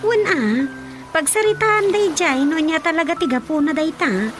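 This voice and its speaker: A woman acting a nosy, sharp-tongued, easily scandalised villager in an Ilocano radio comedy-drama, full of questions and exclamations. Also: extremely high pitch, lively intonation, normal speed. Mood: curious. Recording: faint music under the dialogue. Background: faint background music.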